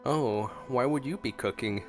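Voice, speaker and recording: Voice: mid-range voice, normal speed. A streamer giving casual, playful gameplay commentary, reacting aloud to the game. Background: game music.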